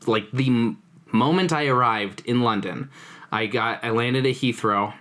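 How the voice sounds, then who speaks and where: A man's voice: mid-range voice, a little slow, fairly bright tone. A young man chatting on a casual podcast. He tells his travel stories in loose, stop-start sentences and breaks into laughter often. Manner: laughs often, self-interrupting storyteller. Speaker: podcast co-host. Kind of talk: casual chat.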